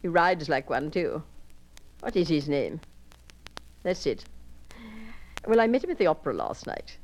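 A woman's voice: low voice, big pitch swings, a little slow, a little hiss or hum, heavily acted. Woman doing a bored, clipped horsey lady. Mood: languid. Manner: clipped, languid, offhand. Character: bored, horsey upper-class Englishwoman. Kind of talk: solo character monologue.

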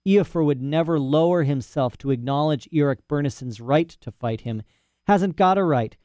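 A man's voice: on the high side, normal speed, dark tone, dry recording, studio quality, voice pushed up, close to an everyday voice. A man playing a ranting old professor. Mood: dismissive. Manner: boastful, ranting, rhetorical "eh?" asides. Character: old imprisoned male professor, indignant and rambling. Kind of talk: audiobook character voice.

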